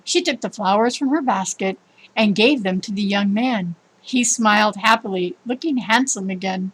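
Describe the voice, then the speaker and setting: A woman narrating a children's story in an expressive, emphatic storytelling manner. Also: mid-range voice, normal speed, slightly rough, poor audio. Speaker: storybook reader.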